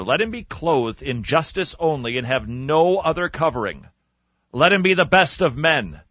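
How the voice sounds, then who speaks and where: A man hosting a radio podcast, reading and commenting in a theatrical, emphatic storytelling style with dramatic pauses. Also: a little high, fairly bright tone, no echo, muffled recording.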